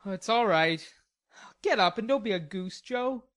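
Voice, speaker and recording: A woman reading an audiobook, voicing a stubborn, hot-tempered young gentleman in indignant outbursts. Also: on the high side, normal speed, voice pushed up, close to an everyday voice. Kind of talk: audiobook character voice.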